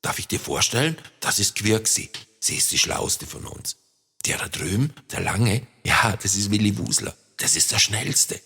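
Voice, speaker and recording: A cheerful little bear from a children's story, acted out by a man. The voice is lifted higher and sounds playful and excitable. A long reverb trails behind it. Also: moderate pace, gravelly, slightly rough, heavily acted. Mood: friendly.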